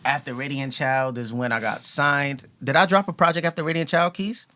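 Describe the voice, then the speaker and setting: A man's voice: mid-range voice, steady tone, fairly quick, pushed and bright. Laid-back young man telling his story. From New York, with Ghanaian parents. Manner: laid-back, laughs often, swears freely. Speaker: guest, rapper. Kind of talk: casual storytelling interview. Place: podcast studio.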